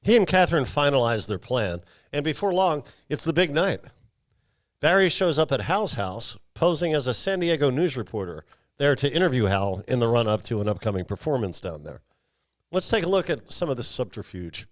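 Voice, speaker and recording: A man's voice: medium pitch, big pitch swings, moderate pace. A man hosting a show, recapping a film in a casual, chatty style. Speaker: podcast host.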